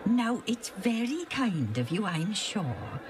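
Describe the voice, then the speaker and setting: A woman's voice: medium pitch, sing-song, slow, noisy background, voice pushed up. A woman voicing Junius, a polite and fussy man in a storybook Bible tale, who stammers and hesitates. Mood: hesitant.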